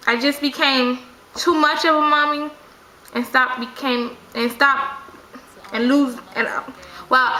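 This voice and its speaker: A woman's voice: high voice, pushed and bright, roomy sound, slight background noise, poor audio. A woman in her twenties talking casually to camera, rambling and correcting herself often. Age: 20s. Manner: rambling, frequent self-corrections and "like" fillers. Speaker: vlogger. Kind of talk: casual opinion monologue.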